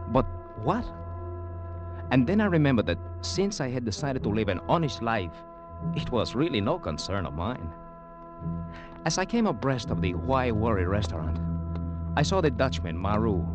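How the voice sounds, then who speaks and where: A low-voiced, wry rogue. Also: male voice. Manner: wry, courtly, hesitant, chuckling. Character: genial Cuban petty crook trying to go honest. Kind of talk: old-time radio drama acting. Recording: vintage radio recording with orchestral music.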